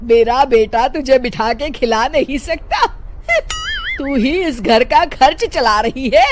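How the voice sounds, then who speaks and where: A woman voicing a stern, old-fashioned elderly mother-in-law who talks loudly and scolds. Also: high voice, wildly swooping pitch, slow pace, noisy background, voice pushed up, exaggerated voice. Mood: scolding. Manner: loud, scolding, self-righteous.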